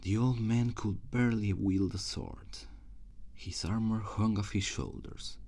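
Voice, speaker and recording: A man playing an ambitious, cruel usurper prince in an ASMR roleplay, speaking formally and theatrically in a husky, gravelly voice that often drops toward a whisper. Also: on the low side, fairly even intonation, a little slow, darkish tone. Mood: contemptuous.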